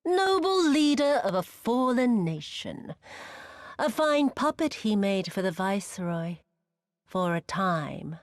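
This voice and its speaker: An actress playing a cruel, vengeful woman in a video game, mocking and imperious, with a theatrical, drawn-out delivery that sinks into breathy whispers. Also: mid-range voice, sing-song, dry recording, studio quality. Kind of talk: dramatic video game cutscene acting.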